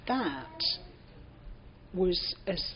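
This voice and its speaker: A woman's voice: fairly low pitch, big pitch swings, drawn-out words, noisy background. An older woman with a British accent reminisces in an interview, telling anecdotes reflectively and voicing what people said. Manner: anecdotal, reflective, acts out reported speech. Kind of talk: reminiscing in an oral history interview. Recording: dull, muffled lo-fi recording.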